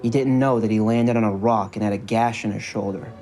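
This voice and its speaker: Low-voiced male storyteller over music. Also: fairly even intonation, a little fast, mellow. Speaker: narrator. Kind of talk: scripted storytelling narration for an audio drama.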